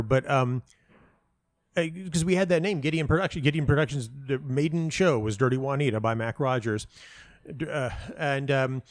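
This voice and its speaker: A middle-aged man talking casually and reflectively as an interview guest, halting and restarting often, with a self-deprecating manner. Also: mid-range voice. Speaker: playwright and audio dramatist being interviewed.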